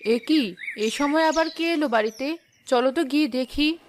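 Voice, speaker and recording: A woman's voice: high voice, normal speed, a little hiss or hum, natural voice. A woman voicing a practical, consoling village wife and mother in a cartoon, reassuring but firm. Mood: coaxing. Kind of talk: Bangla cartoon voice acting. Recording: music behind the voice.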